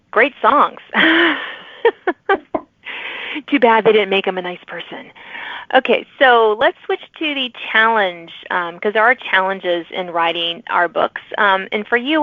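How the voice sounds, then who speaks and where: A woman's voice: medium pitch, dry recording. A woman hosting an interview podcast, chatting casually and reacting in short interjections. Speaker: podcast host interviewing authors. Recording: phone-like narrow band, dry.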